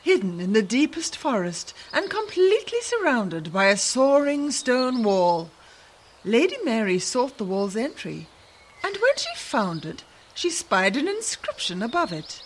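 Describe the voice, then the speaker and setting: A woman's voice: fairly high pitch, sing-song, measured pace, slight background noise. A woman narrating a radio drama as a storyteller, with theatrical, heightened delivery. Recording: music behind the voice.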